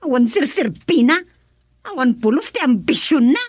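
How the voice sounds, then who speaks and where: A woman playing a young Filipino woman in a romantic family radio drama, with a breathy, husky voice and an emotive delivery. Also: high-pitched, big pitch swings, moderate pace, dry recording, studio quality, heavily acted. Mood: indignant.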